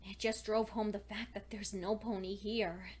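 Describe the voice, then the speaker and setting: A woman voicing a lonely, studious female pony who clings to hope, reading her diary entries in an earnest, deliberate, dramatic fan fiction style. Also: mid-range voice, flat delivery, a little mellow, husky, close to an everyday voice. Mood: distressed, lonely.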